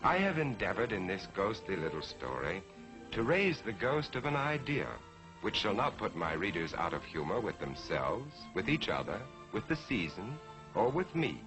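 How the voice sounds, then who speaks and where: A man narrating as a storyteller in a theatrical scripted style, deliberate and emphatic, on a vintage 1940s television soundtrack. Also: fairly low pitch, sing-song, over music, rough recording. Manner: deliberate, emphatic, dramatic phrasing.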